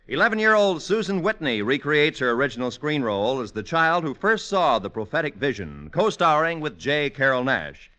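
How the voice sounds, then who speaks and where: A man's voice: fairly high pitch, sharp tone. A man reading scripted announcements in a 1950s network radio announcer style. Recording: vintage radio broadcast. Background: music.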